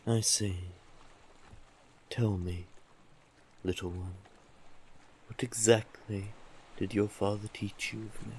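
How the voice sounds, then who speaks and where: A monstrous yet civil male sea witch acted by a man speaks in a low, very unhurried voice. He is soothing and teasing, with courtly manners and lingering endearments, as in an intimate audio drama. Noticeable background noise sits behind the voice. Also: fairly even intonation, darkish tone. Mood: courtly, knowing.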